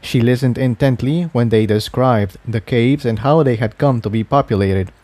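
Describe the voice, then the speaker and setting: A man's voice: medium pitch, normal speed, darkish tone, no room sound. A man reading a scripted adventure story aloud as its narrator. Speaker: fan fiction narrator.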